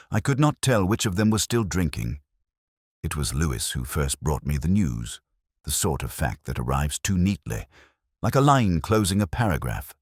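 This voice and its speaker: A man reading a first-person detective story as scripted narration, in a measured, literary storytelling manner. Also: a bass voice, dry recording, studio quality.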